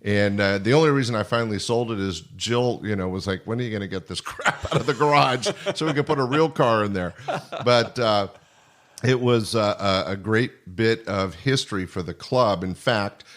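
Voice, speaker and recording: A man's voice: fairly low pitch, normal speed, a bit bright. An older man hosting a conversational interview, reminiscing in a rambling way. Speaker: podcast host, former comedy club owner.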